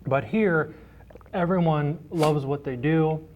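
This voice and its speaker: A man being interviewed, chatting casually in an informal, halting, wry way and telling anecdotes. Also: on the high side, highs cut off. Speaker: library director, interview guest.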